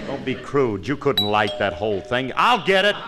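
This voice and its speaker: Man playing a brash husband with wildly swinging pitch. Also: very animated, moderate pace, lightly acted. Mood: wry. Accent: American. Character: brash, wisecracking, flustered husband. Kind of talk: 1950s radio sitcom acting. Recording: very noisy old radio, loud audience.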